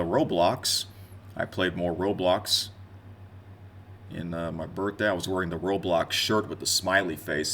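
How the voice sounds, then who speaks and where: Guy in his 20s w a low voice, chatty rambling vlog talk, bit of noise behind him. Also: fairly bright tone. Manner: rambling, conversational, addresses viewers directly. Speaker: gaming content creator and streamer. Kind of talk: casual unscripted year-in-review vlog talk.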